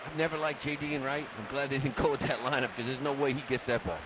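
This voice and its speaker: A man's voice: mid-range voice, fairly even intonation, quick pace, background noise. A man working as a baseball color analyst on a live radio broadcast, conversational and full of opinions. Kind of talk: live sports color commentary. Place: stadium broadcast booth.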